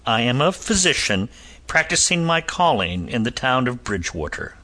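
A man's voice: mid-range voice, sing-song, normal speed, sharp tone. A man voicing a composed physician and former soldier with a dry, cutting wit and a lilt. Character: cool, sardonic Irish physician and ex-soldier, adult man.

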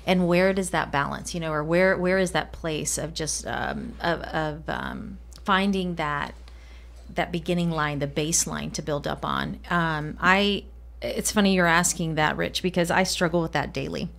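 A woman's voice: deep voice, normal speed, creaky, a bit bright. A woman answering as an interview guest, reflective and thoughtful, feeling out her phrasing as she goes. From Colombia. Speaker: interview guest, healthcare department leader.

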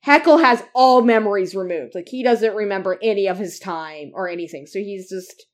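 A woman's voice: medium pitch, moderate pace. A woman co-hosting a casual fan podcast, rambling through her points with lots of fillers. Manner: rambling, heavy use of "like" and "uh". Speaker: podcast co-host.

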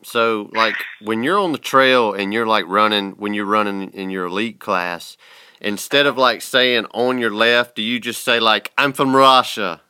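A man's voice: fairly low pitch, unhurried, sharp tone, clean tone, dry recording. A man hosting a casual interview, asking questions and teasing his guest. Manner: joking, teasing. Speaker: interview host.

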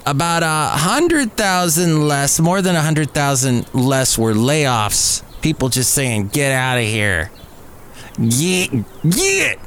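A man's voice: fairly high pitch, very animated, takes it slowly, fairly bright tone. American guy doing a casual rambly podcast, jokey little asides, bit of background noise. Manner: rambling, self-deprecating, with playful asides. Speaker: podcast host. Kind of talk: casual solo podcast monologue.

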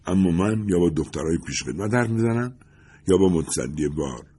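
A man's voice: low-pitched, expressive, dark tone, breathy edge. A man voicing a talkative, glamorous woman who gushes and wants to be liked. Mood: chatty. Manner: gushing, eager to please.

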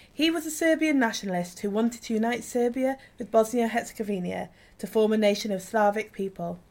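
Woman, scripted narrator, mid-range voice, radio play storytelling. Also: mid-range voice.

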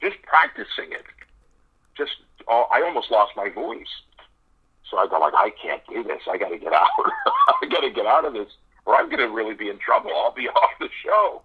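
A man telling stories as an interview guest, animated and laughing often in the middle of his sentences. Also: mid-range voice, normal speed, husky, lo-fi sound. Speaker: impressionist guest. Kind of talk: casual radio interview.

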